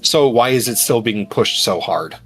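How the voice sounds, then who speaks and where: Halting man in casual chat. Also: fairly low pitch, animated, fairly bright tone, soft background music.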